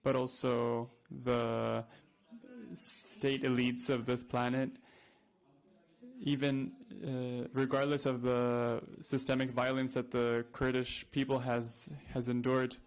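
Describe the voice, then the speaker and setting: A man giving an earnest, somewhat halting conference speech. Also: mid-range voice, flat delivery, clear, resonant tone. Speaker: conference speaker, activist.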